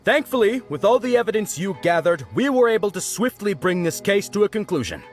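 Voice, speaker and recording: Earnest, high-voiced guard officer. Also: male voice, sing-song, brisk, soft background music. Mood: official, earnest. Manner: formal, polite, earnest. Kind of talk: video game voice acting.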